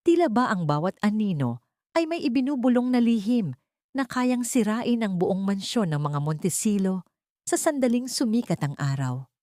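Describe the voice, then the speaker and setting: A woman's voice: mid-range voice, expressive, moderate pace, mellow, dry recording, clean studio sound. A woman with a Filipino accent reading a dramatic story as an audiobook narrator.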